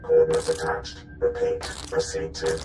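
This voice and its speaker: A terse automated message voice in a sci-fi game. It is extremely deep and echoing, clipped and impersonal, with music behind it. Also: male voice, wildly swooping pitch, normal speed, exaggerated voice. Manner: clipped, procedural. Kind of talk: video game voice acting.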